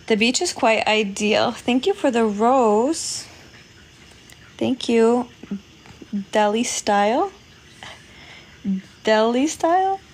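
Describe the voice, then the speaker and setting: A woman's voice: a little high, slow pace, long pauses. A woman from Poland hosting a casual livestream, chatting with viewers, thanking them and laughing often. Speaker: livestream host. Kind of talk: casual livestream chat reading comments.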